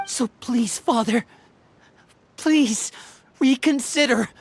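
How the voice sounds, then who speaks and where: An actress voicing an earnest, loyal young man who speaks with heartfelt, deliberate pleading in a video game. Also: fairly high pitch, slight background noise.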